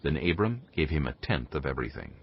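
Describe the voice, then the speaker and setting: A man with an extremely deep voice narrates scripture as measured storytelling. His delivery is expressive and varied in pitch, over music on a slightly muffled recording. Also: a little hiss or hum. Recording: dull, band-limited stream with music. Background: clear music.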